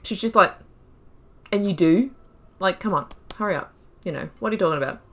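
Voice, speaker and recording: A woman's voice: medium pitch, lively intonation, fairly quick, gravelly, a bit bright. A woman hosting a gaming video, thinking aloud in casual, informal, wry commentary as she talks through the story.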